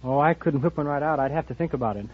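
A man's voice: a little high, fast, highs cut off, noisy background. A man answering a quiz host as a contestant on an old radio recording. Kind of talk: answering a host's questions.

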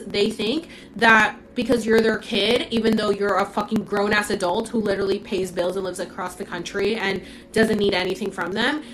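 A young woman from Puerto Rico, raised in Florida, chatting bluntly into her phone on a casual livestream. Her voice sits at a middle pitch and she swears freely, peppering her talk with "like." A faint noise runs under the recording. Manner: blunt and candid, swears freely, many "like" fillers. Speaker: livestream host. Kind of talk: casual livestream chat answering comments.

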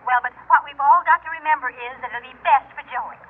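An actress playing a polite, businesslike adoption agency worker, formal and conciliatory, in classic radio drama style. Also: female voice, high voice, quick pace, close to an everyday voice. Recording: tinny telephone voice, noisy old radio.

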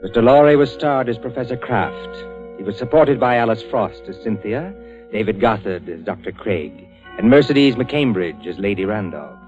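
Lower-pitched male vintage radio announcer. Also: lively intonation, normal speed, a little mellow. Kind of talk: formal 1940s radio announcing. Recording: dull old 1940s radio recording. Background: music.

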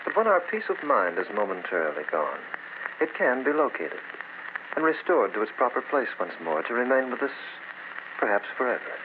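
An actor playing a solemn, philosophical narrator in a dramatic old time radio suspense show. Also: male voice, mid-range voice, very animated, a little fast, close to an everyday voice. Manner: dramatic, portentous. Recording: noisy narrowband vintage radio recording.

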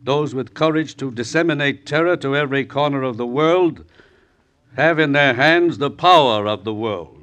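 A man's voice: mid-range voice, fairly bright tone, close to an everyday voice. A man playing a middle-aged revolutionary exile, intellectual and theatrical, in old radio drama style. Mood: cold, didactic. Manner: measured, didactic, theatrical. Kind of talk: 1950s radio drama acting.

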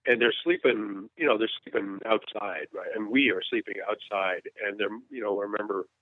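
A low-voiced man in his fifties speaks frankly and earnestly as a guest in an interview. He tells his story in halting bursts and restarts his sentences often. The sound is thin and phone-like, with no room echo at all. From United States. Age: 50s. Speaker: war journalist guest. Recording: tinny phone-line sound, dry.

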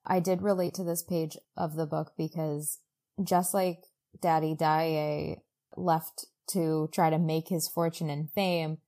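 A woman's voice: low voice, slow pace. A woman co-hosting a podcast, recapping a book in casual, exclamatory chat peppered with "like". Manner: animated, exclamatory, heavy use of "like". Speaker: podcast co-host.

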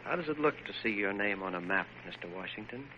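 An actor playing a respectful presidential aide in an old radio drama, speaking formally and deliberately. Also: male voice, fairly low pitch, animated, pushed and bright, highs cut off. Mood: respectful, proud. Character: respectful male aide bringing news to president. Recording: noisy, band-limited old radio recording.

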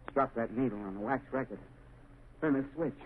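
An actor in a 1930s radio drama playing a bitter, dying impersonator, halting and gasping as his strength fails. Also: mid-range voice, close to an everyday voice. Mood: weak, urgent. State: weak and failing, coughing. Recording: tinny, noisy 1930s radio recording.

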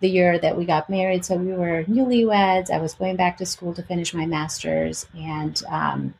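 A woman's voice: fairly low pitch, fairly even intonation, normal speed, creaky, a little mellow, slight background noise, rough recording. A woman in her forties with a slight Albanian-Greek accent, casually telling personal stories as an interview guest. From Albania and Greece.

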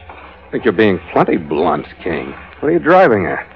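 Casting: hard-boiled sea captain, animated delivery, clipped slang, old dull radio sound. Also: male voice, deep voice, moderate pace, gravelly, heavily acted. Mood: blunt. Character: plainspoken, hard-boiled sea captain narrating his voyage. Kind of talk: 1940s radio adventure acting and narration. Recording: dull vintage radio recording with music.

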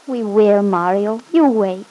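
An actress playing a loving, patient young Italian wife in a classic radio drama, speaking in a tender, coaxing way. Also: female voice, mid-range voice, slow pace, mellow, natural voice, rough recording. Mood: sweet, coaxing. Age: young adult.

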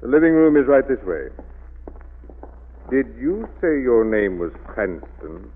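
An actor playing a secretive, formally courteous middle-aged mansion owner, halting and evasive, in 1940s radio drama style. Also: male voice, mid-range voice, very animated, slight background noise. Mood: uneasy, courteous. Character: guarded, courteous older master of a mansion, secretive father. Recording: tinny old radio recording.

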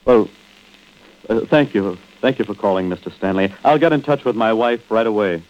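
An actor playing a scheming, deceitful husband in an old-time radio drama, smoothly feigning innocence with menace underneath. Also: male voice, on the low side, normal speed, a little mellow, close to an everyday voice. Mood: feigned concern. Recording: dull, noisy old radio recording.

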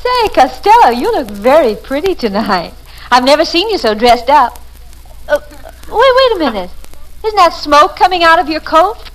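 Flirtatious 1940s radio secretary. High-pitched, lively, coy and teasing. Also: female voice, moderate pace, rough recording. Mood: flirty teasing. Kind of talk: 1940s radio comedy acting.

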